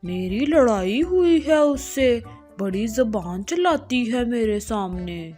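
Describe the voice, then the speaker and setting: A woman's voice: fairly high pitch, lively intonation, takes it slowly, mellow, faint music behind. A woman voicing a scheming male crow who wheedles and complains in a children's cartoon. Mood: complaining, crafty.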